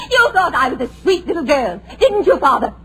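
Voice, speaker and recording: A woman's voice: very high-pitched, fairly bright tone, full character voice. An actress playing a demure young woman who turns out to be a gloating murderer. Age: young adult. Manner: proper then gloating and unhinged.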